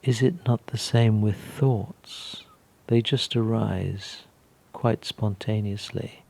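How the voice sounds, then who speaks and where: Male meditation guide, low-pitched and creaky, speaking very slowly with calm pauses. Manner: gentle, calm, unhurried with long pauses.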